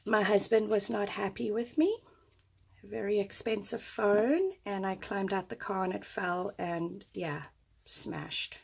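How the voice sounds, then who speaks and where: A woman's voice: mid-range voice, fairly even intonation, unhurried, gravelly, a little mellow, slightly rough. A woman demonstrating a paper craft who is losing her voice, giving chatty step-by-step instructions at her craft table. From United States. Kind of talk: craft tutorial.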